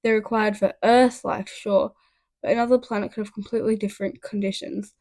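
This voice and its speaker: A woman's voice: medium pitch, steady tone, fairly quick, mellow, no echo, close to an everyday voice. A woman voicing a wry, defensive male science teacher in a storybook reading, conversational and indignant.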